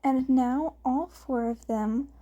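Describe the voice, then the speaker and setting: A woman reading fan fiction aloud as story narration, sometimes halting over her words. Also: sits high, mellow. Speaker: fan fiction podfic reader.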